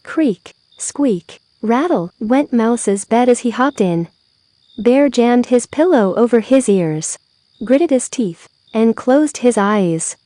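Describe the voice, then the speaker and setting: A woman reading a children's bedtime story aloud in a measured storytelling manner. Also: a little high, animated, mellow. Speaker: storybook reader.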